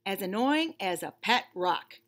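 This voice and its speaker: Animated, bright-voiced woman reading to children. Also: mid-range voice, unhurried. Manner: expressive, emphatic, instructive. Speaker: children's read-aloud storyteller, teacher. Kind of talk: expressive picture-book read-aloud with explanatory asides. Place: small indoor room, seated.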